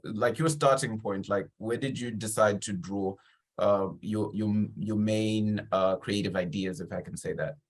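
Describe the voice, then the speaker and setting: A man from Zimbabwe hosting a conversational interview, effusive and a little halting as he builds his questions. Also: fairly low pitch, fairly even intonation.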